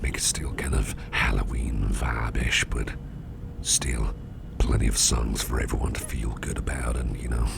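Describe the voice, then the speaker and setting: A man playing an attentive, easygoing businessman boss in a soft, breathy, whispery ASMR roleplay, unhurried and soothing, murmuring close to the microphone. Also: fairly even intonation, noisy background, exaggerated voice. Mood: relaxed, musing. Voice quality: very low, gravelly, breathy near-whisper. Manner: unhurried, soothing, indulgent, musing aloud.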